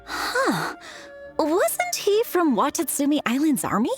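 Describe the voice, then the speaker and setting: A woman's voice: high-pitched, wildly swooping pitch, takes it slowly, faint music behind, exaggerated voice. A woman voicing an eager young townsperson in a video game. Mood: eager, curious.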